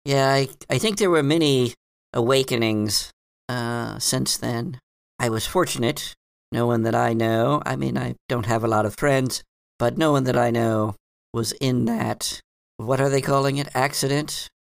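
A man plays a scowling, cautious woman librarian in a tabletop roleplaying game. Her delivery is slow and halting, with a gravelly creak and a close, dry sound as she feels for each word. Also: medium pitch, no echo, pitched above the performer's own voice. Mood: musing. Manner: halting, searching for words, repeating herself. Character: knowing, cautious, scowling female librarian in glasses. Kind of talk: tabletop game character dialogue.

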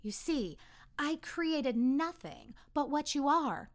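A woman playing a kindly, wise and amused God who explains things gently and deliberately in a children's story. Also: sits high, lively intonation, pitched above the performer's own voice. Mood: patient, explaining.

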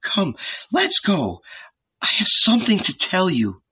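Man voicing a high-pitched, fervent old village woman. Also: wildly swooping pitch, measured pace, sharp tone, husky, heavily acted. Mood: coaxing. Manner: earnest, coaxing, emphatic.

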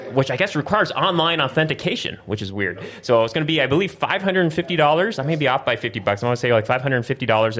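A man's voice: mid-range voice, a bit bright. A man hosting a casual chat show in a rambling, upbeat way. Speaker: show host.

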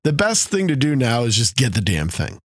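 A man co-hosting a podcast in casual chat, rambling with restarts and fillers, his voice a little gravelly after being sick. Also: medium pitch, sing-song, fairly quick, no echo, studio quality. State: slightly gravelly after illness. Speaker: podcast co-host.

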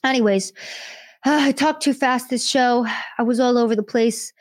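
A woman hosting a political commentary podcast, delivering an indignant, rapid-fire monologue. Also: fairly high pitch, no room sound, studio quality. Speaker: podcast host.

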